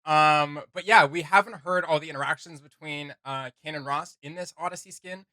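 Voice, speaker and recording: A man's voice: fairly high pitch, steady tone, normal speed, sharp tone, no echo. A man hosting a reaction video, chatting casually to introduce it.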